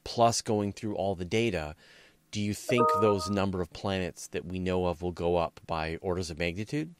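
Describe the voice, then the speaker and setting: A man's voice: sits low, fairly even intonation, normal speed, noisy background. A man hosting a casual science interview, thinking aloud informally as he builds long, rambling questions. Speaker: interviewer, host.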